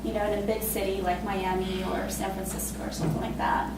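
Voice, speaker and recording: A woman in her twenties from Costa Rica, telling her own story in a casual, halting way with many fillers and laughs. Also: mid-range voice, level tone, very echoey, distant mic, noisy background. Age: 20s. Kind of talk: personal spoken testimony.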